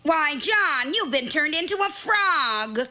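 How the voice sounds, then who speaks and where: A woman voicing a dutiful, patient wife in a comic cartoon, sweetly and gently reproving. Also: high-pitched, very animated, takes it slowly, muffled recording, heavily acted. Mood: patient, chiding. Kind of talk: comic cartoon character acting.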